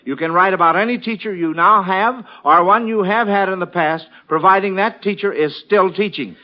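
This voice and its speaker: A man's voice: high voice, normal speed. An American man hosting a 1940s radio quiz show for children, with a folksy, enthusiastic showman's delivery. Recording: dull vintage 1940s radio broadcast.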